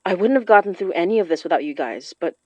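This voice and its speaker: An actress playing a young woman who works magic, tired, wry and protective, with a brisk, matter-of-fact delivery in a naturalistic audio drama. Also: on the low side, animated, no room sound, muffled recording. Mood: confiding.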